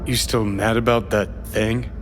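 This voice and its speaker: An actor playing a brash, cynical, impatient rocker in a cyberpunk video game, curt and swaggering. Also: male voice, lowish voice, level tone, unhurried, natural voice. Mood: sardonic. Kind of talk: video game drama acting. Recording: game audio with music behind.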